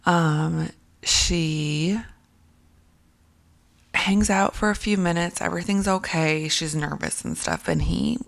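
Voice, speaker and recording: A low, gravelly-voiced woman talks through a casual commentary piece. Her voice is raspy and worn from whispering, and she rambles with plenty of ums, restarts and throat-clearing. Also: lively intonation, takes it slowly, long pauses. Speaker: commentary video creator.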